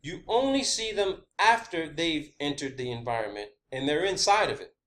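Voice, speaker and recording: A man's voice: a little high, big pitch swings, moderate pace, fairly bright tone. A man delivering an informal, rambling science-theory monologue, emphatic and sweary, often repeating his phrases. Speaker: online video host.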